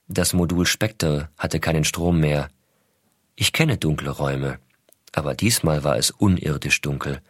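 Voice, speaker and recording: A man playing an astronaut father reading his letters to his young son, measured and intimate, explaining things simply as to a child. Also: a bass voice, a bit bright, no echo, studio quality, full character voice. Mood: calm, hushed. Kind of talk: scripted narration, letters read aloud.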